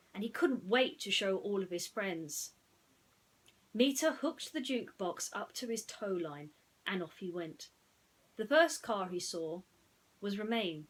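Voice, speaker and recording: A woman storyteller narrating a bedtime story, gently explaining things as if to young listeners. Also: medium pitch.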